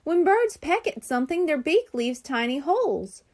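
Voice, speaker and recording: A woman's voice: sits high, big pitch swings, normal speed, darkish tone, voice pushed up. A woman voicing an observant, playful young girl in a children's storybook, explaining things in a matter-of-fact way.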